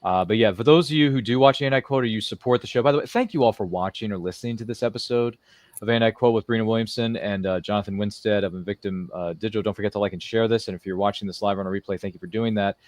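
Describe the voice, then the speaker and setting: Fast-talking man hosting a chat show. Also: on the low side, darkish tone, dry recording. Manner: chatty, jokey, rambling, quotes films. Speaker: host of a live-streamed interview show. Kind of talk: casual chat.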